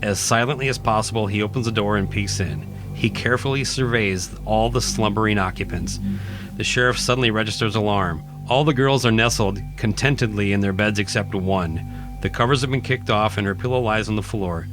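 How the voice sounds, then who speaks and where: A man reading scripted horror narration in a dramatic, deliberate storytelling manner. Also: fairly low pitch, over music. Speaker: narrator, podcast host.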